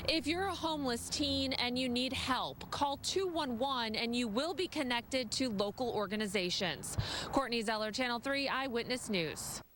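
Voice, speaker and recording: A woman TV news reporter with a fairly high voice reads her story in a steady, scripted way. Faint noise sits under the voice. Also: normal speed.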